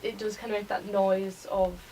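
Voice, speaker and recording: A woman's voice: medium pitch, little pitch movement, moderate pace, mellow. A young woman, a college student talking through plans in a casual, tentative class presentation. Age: young adult. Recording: distant mic, faint room noise.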